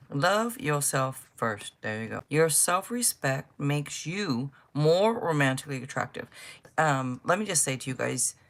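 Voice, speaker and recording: A woman's voice: deep voice, a bit bright. An older woman doing a casual livestream tarot reading, chatty and blunt, interrupting herself and swearing freely. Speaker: tarot reader.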